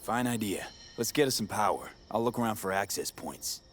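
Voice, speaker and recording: An actor voicing a calm male mercenary in a video game, answering in short, laconic lines. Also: lowish voice, normal speed, slight background noise, natural voice. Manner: terse, laconic replies.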